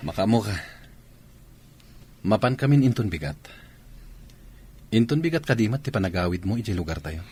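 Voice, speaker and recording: An actor playing a gruff, evasive, two-timing soldier husband in an Ilocano radio drama, defensive and insistent. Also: male voice, fairly low pitch, animated, moderate pace, long pauses, a little mellow. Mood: worried.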